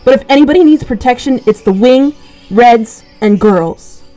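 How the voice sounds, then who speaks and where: A woman's voice: a little high, normal speed, warm tone, soft background music, natural voice, poor audio. A woman playing a proud, scornful young boy sidekick, fiercely loyal to his father, in an amateur superhero radio drama. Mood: sarcastic.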